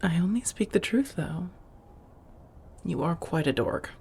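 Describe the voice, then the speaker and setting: A woman playing an affectionate, teasing vampire roommate in a soft-spoken ASMR roleplay, breathy and intimate, often half-whispering. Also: fairly low pitch, animated, unhurried, heavily acted. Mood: playful teasing. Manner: intimate, teasing, coaxing with pet names.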